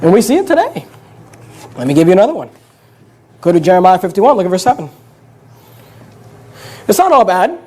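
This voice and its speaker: A man's voice: high-pitched, sing-song, fairly quick, pauses often, warm tone, husky. A man with an American accent preaching a Bible sermon in an emphatic, exhorting manner, telling listeners to look and take notice. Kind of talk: Bible sermon with verse reading.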